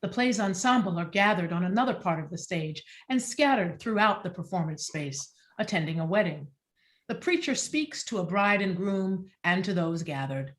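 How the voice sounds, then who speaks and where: A woman reading stage directions as scripted narration in a measured, descriptive way. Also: fairly low pitch, lively intonation.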